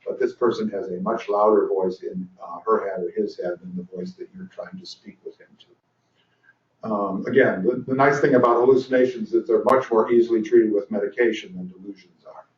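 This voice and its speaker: A man's voice: lowish voice, moderate pace. An older man lectures at a training session, talking loosely with many fillers and dry asides. He is heard from some distance across a reverberant room. The recording is dull and muffled. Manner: dry humor, frequent fillers and restarts. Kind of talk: conference lecture.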